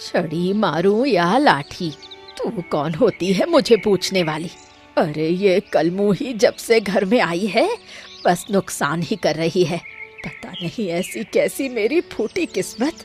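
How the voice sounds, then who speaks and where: Woman acting a bitter, scolding mother-in-law, swinging pitch. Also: very animated, slow pace, soft background music. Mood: angry scolding, then bitter lament. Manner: harsh, taunting. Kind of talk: Hindi cartoon story dialogue.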